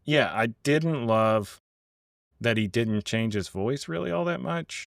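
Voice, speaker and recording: A man's voice: mid-range voice, animated, slow, creaky, no echo, clean studio sound. A man co-hosting a casual podcast chat, laid-back, with wry asides. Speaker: podcast co-host.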